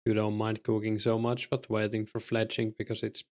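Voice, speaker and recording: A man's voice: on the low side, monotone, normal speed, darkish tone. A man with a Finnish accent chatting casually with his stream chat while he plays, answering viewers' questions with an easygoing, filler-laden delivery. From Finland. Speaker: game streamer.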